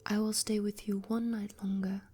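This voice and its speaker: A woman voicing a gentle, loyal male swallow in a soft whisper. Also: medium pitch, flat delivery, moderate pace, husky, lightly acted. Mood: pleading.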